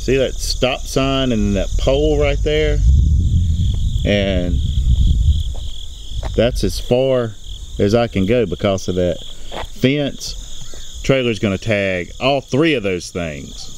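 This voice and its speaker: A man in his forties, a truck driver, talking excitedly to the camera through a headset mic as he works out a tight route on country roads. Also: medium pitch, slow, pauses often, noisy background. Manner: excited, talks straight to camera. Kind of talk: casual vlog narration. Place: outdoors on rural roads and inside a truck cab.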